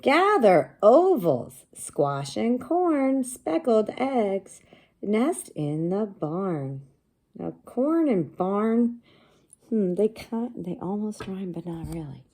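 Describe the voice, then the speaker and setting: A woman teacher reading a picture book aloud to young children, pausing to explain things simply and ask them questions. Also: mid-range voice, big pitch swings, mellow.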